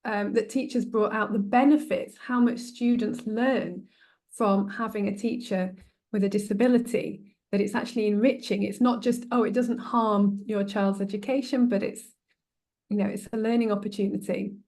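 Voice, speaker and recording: A woman's voice: mid-range voice, fairly even intonation, moderate pace, a little mellow. A woman moderating a webinar, encouraging and conversational as she responds and relays questions from the audience.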